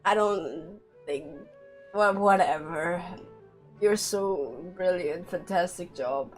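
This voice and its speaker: A woman's voice: lowish voice, takes it slowly. A woman game streamer giving casual playthrough commentary and reading dialogue aloud. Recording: game music behind.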